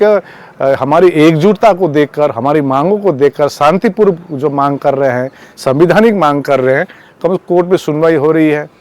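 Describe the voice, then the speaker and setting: A man speaking as a protest organiser, giving a campaign statement in a halting, repetitive way and mixing in English words. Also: on the high side, darkish tone. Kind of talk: spoken public statement on a campaign.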